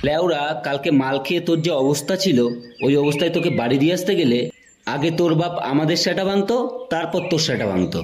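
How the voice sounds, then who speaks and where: A man's voice: a little high, lively intonation, fast talker, slight background noise, lightly acted. A man voicing a crude young club friend in a Bengali comedy cartoon, exclaiming and bantering. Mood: teasing, casual.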